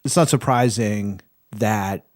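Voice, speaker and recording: A man's voice: lowish voice, slow pace, darkish tone, no room sound, clean studio sound. A man chatting as a podcast panelist, thinking aloud in a tentative, casual way. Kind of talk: casual chat.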